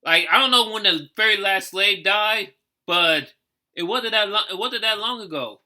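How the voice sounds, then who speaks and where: A man giving casual, off-the-cuff reaction commentary as host, thinking aloud with halting, repeated phrases. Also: high voice, pushed and bright.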